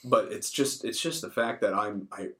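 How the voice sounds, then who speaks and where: A man's voice: on the low side, normal speed, fairly bright tone. Man of thirty chatting casually. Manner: informal, profane. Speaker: podcast co-host. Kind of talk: casual chat.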